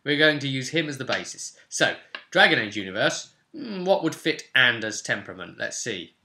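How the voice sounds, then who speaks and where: Bright-voiced young man explaining a tabletop game. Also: mid-range voice, sing-song, normal speed. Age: young adult. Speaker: game master and channel host. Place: small furnished room at home, indoors.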